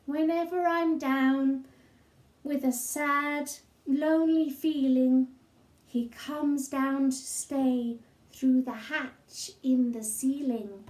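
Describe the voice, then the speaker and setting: A cheeky little boy voiced by a woman reading a storybook, very high and earnest. He is insistent and wheedling, drawing out his fibs slowly in an echoey room. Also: steady tone, a little mellow. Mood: earnest fibbing. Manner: wheedling, insistent, hesitant while inventing.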